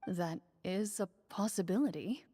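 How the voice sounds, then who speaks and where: A woman's voice: mid-range voice, faint music behind, natural voice. Woman as a composed, formal game master. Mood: matter-of-fact. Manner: formal, measured, deadpan. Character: composed, serious young woman running a tabletop game. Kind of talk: game master narration in a video game.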